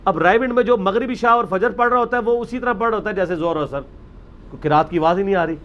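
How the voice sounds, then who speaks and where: A man's voice: high-pitched, a bit bright, muffled recording, slight background noise. A man with a Pakistani accent giving an informal religious lecture, arguing and explaining off the cuff with English words mixed in.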